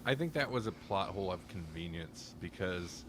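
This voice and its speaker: A man's voice: low voice, lively intonation, moderate pace, a bit bright, slight background noise. A man co-hosting a podcast, talking through a casual review in a hedging, think-aloud way. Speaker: podcast co-host.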